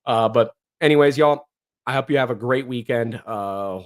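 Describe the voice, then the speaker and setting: A man with a hoarse, worn voice chats casually on a livestream and reads viewer comments aloud. He rambles, restarts his sentences and fills the gaps with ums and uhs. He grew up in northeastern Ohio, and his voice sits at a middle pitch. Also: normal speed. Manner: rambling, many fillers and restarts. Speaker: livestream host.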